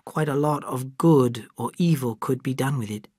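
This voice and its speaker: A man voicing a thoughtful, wise household servant who reasons in a calm way. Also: mid-range voice, moderate pace, slightly rough, dry recording, clean studio sound, close to an everyday voice.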